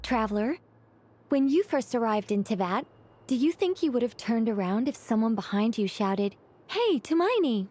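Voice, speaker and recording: A woman playing a wise, calm companion who speaks thoughtfully and gently guides others in a game cutscene. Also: on the high side, normal speed. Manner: thoughtful, reflective, gently guiding.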